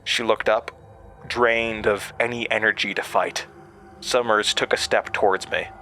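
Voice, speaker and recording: An actor playing a traumatized man recounting his horrifying past in an audio drama, halting and stammering. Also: on the low side, fairly even intonation, sharp tone. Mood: haunted, tense. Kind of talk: audio drama monologue, first-person narration. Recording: music under the voice.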